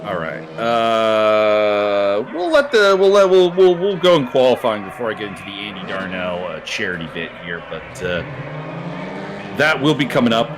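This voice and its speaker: A man's voice: lowish voice, very animated, normal speed, background noise. A man commentating casually on a sim racing stream, talking in an unscripted, rambling and joking way with a co-host. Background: music behind the voice.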